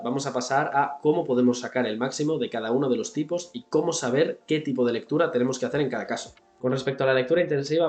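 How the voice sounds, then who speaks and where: A young man rattles through a language-learning lesson at a quick clip, speaking straight to the viewer. His voice sits at an ordinary middle pitch, and the room gives it a noticeable echo. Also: a little mellow. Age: 20s. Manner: brisk, instructive, addresses viewer directly. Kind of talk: scripted explainer to camera.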